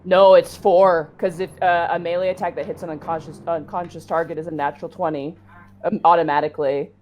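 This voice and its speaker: A woman's voice: on the low side, level tone, brisk, darkish tone, slight background noise. A woman talking casually as a player at a tabletop role-playing game. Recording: clear music under the voice. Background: music.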